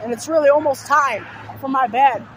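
A hoarse young man with a sore throat rambling through repeated simple lists in a casual vlog, filmed outdoors beside a road on a phone held at arm's length. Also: extremely high pitch, normal speed, crowd noise. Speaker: vlogger. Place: outdoors on a fenced overpass beside a busy road. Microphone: phone held low at arm's length. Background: faint passing traffic.

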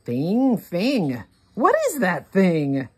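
A woman reading a rhyming picture book aloud for young children, word by word, with deliberate expression. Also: fairly low pitch, slightly rough. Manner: deliberate, word-by-word, expressive delivery for early readers. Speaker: children's read-along host.

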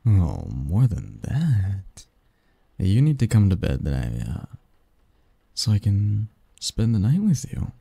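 A man voicing a seductive, doting sleep demon lover in an intimate ASMR roleplay, breathy and coaxing, close to the mic. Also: lowish voice, huge pitch swings, dark tone, dry recording, heavily acted. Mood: coaxing, teasing. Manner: slow, coaxing, teasing, murmured. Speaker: roleplay audio performer. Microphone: close, dry microphone.

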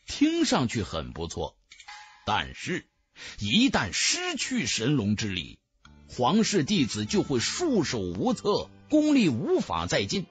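A man's voice: medium pitch, huge pitch swings, slow, breathy edge, faint music behind. A man narrating a fantasy audiobook in a dramatic, scripted storytelling style.

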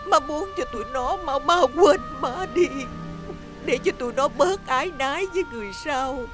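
An actress playing a gentle, self-sacrificing mother who speaks softly and tearfully. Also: high-pitched, moderate pace, lightly acted. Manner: soft, tearful pleading. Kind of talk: stage drama acting. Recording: music behind the voice.

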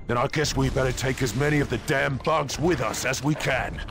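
A male actor plays a gruff sergeant in a video game. His mid-pitched voice is full of gravel and defiance, with music underneath. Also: steady tone, fairly quick, natural voice. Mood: grim defiance. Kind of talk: dramatic video game acting. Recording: music and battle noise behind.

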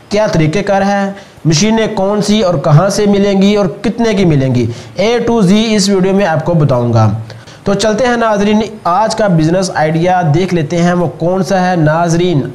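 A man's voice: high-pitched, a little mellow. A man with a Pakistani accent hosting an explainer video, reading a voice-over that addresses viewers directly and instructively. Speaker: video host. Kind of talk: scripted explainer voice-over narration.

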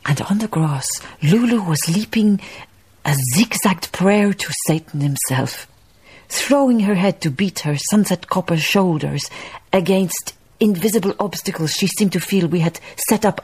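An actress playing a wistful, wry Danish baroness, narrating a literary radio drama in a measured way. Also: female voice, on the low side, expressive, a little mellow, slightly rough. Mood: vivid reminiscing. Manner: measured storytelling delivery.

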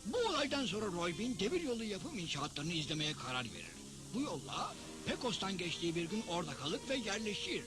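A man voicing a talkative, indignant cartoon sidekick in a western dub, telling things in an expressive, explanatory way. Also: high voice, brisk, over music. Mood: wry storytelling. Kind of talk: dubbed cartoon acting.

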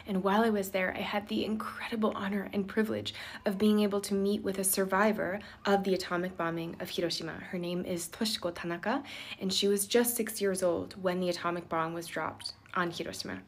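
A woman's voice: medium pitch, flat delivery, brisk. A young woman whose first language is Japanese, speaking earnestly and directly to a phone camera in her living room. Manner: earnest, heartfelt direct address. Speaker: presenter introducing a guest. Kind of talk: talking to camera on social video. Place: indoor living room, on a sofa.

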